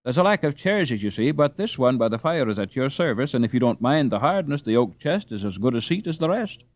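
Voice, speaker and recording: Man voicing a fussy, lively Dutch housewife. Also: medium pitch, fairly quick, no room sound, pitched above the performer's own voice, close to an everyday voice. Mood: hospitable. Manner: emphatic, folksy old-fashioned phrasing.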